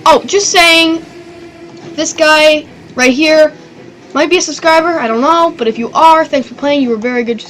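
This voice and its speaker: High-voiced woman rambling through a strategy game battle, excitable, swears a bit, faint hiss behind. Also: normal speed, low-quality recording. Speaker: gaming channel host. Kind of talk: casual strategy game commentary.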